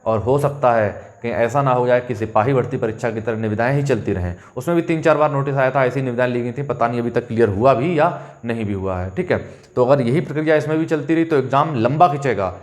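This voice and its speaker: A man's voice: mid-range voice, fast. A man speaks as a coaching teacher, informally explaining an exam update and addressing students directly with frequent check-ins. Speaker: coaching teacher and channel host.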